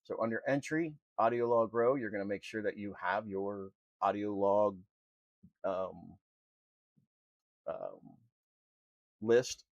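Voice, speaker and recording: A man presenting an unscripted software tutorial in an informal, halting, step-by-step way. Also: fairly low pitch, dry recording, dull audio. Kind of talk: unscripted screen-recorded walkthrough.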